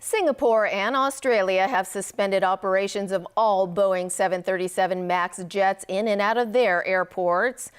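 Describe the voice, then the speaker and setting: A woman's voice: medium pitch, clear, resonant tone, no room sound, studio quality. A woman reading scripted broadcast news as an anchor.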